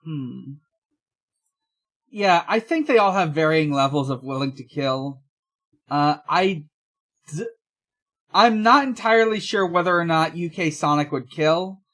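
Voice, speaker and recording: A man's voice: on the high side, fairly bright tone. A man co-hosting a podcast in casual chat, matter-of-fact and hesitant as he thinks things through aloud. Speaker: podcast co-host.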